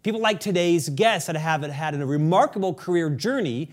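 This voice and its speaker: A man's voice: on the high side, lively intonation, normal speed. A man hosting an interview podcast, speaking in a polished, formal and wordy presenter style. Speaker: podcast host. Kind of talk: scripted podcast introduction and interview questions.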